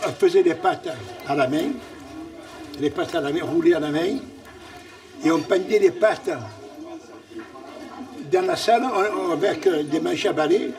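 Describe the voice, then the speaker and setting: A man's voice: sits high, sing-song, measured pace, vocal fry, long pauses, a little mellow, recorded from a distance, faint music behind, rough recording. A man with a Marseille accent, a restaurant owner talking casually in an interview and repeating himself. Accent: Marseille French. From Marseille, France.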